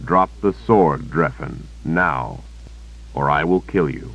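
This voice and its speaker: A male narrator voicing a resolute young hero and wizard who speaks firmly and gently. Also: extremely low pitch, slow pace, husky, muffled recording, heavily acted. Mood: threatening. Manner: firm, then gentle and hushed.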